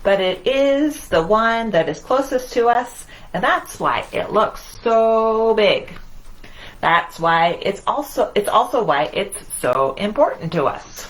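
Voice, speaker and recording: A woman leads a storytime for young children at an unhurried pace. She reads aloud in short, singsong phrases and often turns to her listeners with simple questions. There is clear background noise in the recording. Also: medium pitch, a bit bright, poor audio. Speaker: children's library storytime host.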